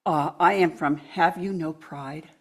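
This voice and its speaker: A woman in her seventies reading her own poem aloud at a church pulpit in a warm, unhurried way. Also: low voice. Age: 70s. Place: church sanctuary.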